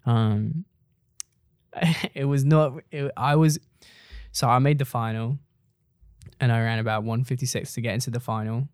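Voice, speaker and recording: A man's voice: mid-range voice, unhurried, long pauses, clear, resonant tone, no echo. Young man, dry close sound. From Iceland. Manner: relaxed, laughs while telling stories. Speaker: co-host, runner. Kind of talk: casual chat.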